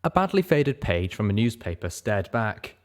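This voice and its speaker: A man's voice: on the low side, sing-song, moderate pace. A man narrating a first-person fantasy story as a dramatic audiobook reader.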